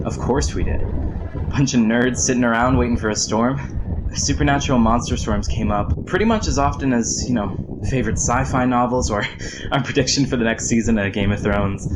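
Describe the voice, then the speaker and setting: An actor playing a wistful, nerdy storm chaser who survived the apocalypse, rambling reflectively in a radio drama monologue. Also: male voice, moderate pace, natural voice. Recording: noisy radio transmission, vehicle rumble.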